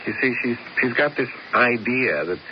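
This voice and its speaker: An actor playing a nervous, excitable young man who stammers and pleads, in a 1970s radio drama. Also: mid-range voice, moderate pace, close to an everyday voice. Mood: agitated. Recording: dull old radio broadcast with faint hiss.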